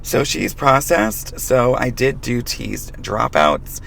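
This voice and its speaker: A man who is losing his voice, hoarse, giving frank advice to fellow stylists in a hair colouring tutorial. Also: mid-range voice, big pitch swings, slow, a bit bright, soft background music. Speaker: hair colorist.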